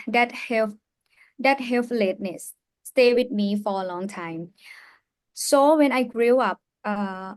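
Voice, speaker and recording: A young woman with a Thai accent speaks slowly and earnestly, pausing often and leaning on fillers as she tells her story. Her voice sits at a middling pitch. The sound is close and dry, with no room echo. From Thailand. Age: young adult. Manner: earnest, halting, with many fillers. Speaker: youth ambassador. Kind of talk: personal story on a live panel.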